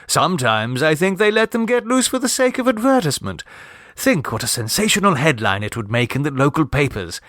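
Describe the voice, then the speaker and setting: A man voicing a witty young gentleman in a storybook, lazy, droll and unconcerned. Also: on the high side, big pitch swings, brisk, no room sound, clean studio sound, pitched above the performer's own voice. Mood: mock-serious.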